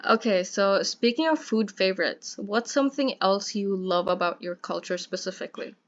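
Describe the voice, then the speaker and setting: A woman's voice: mid-range voice, expressive, moderate pace, lo-fi sound. A young woman hosting a student interview from home, friendly and chatty with many fillers.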